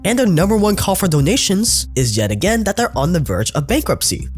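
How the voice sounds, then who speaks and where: A man narrating a scripted documentary in a wry, sarcastic way, his voice sounding a little sick. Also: high-pitched.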